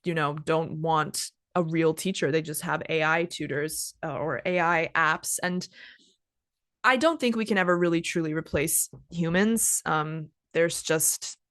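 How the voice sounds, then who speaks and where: A woman's voice: deep voice, fairly bright tone, no echo. Chatty young woman teacher, dry close sound. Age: 20s. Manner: chatty, many "like" and "kind of" fillers, pauses to define words. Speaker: English teacher and podcast host. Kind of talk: casual chat with vocabulary explanations.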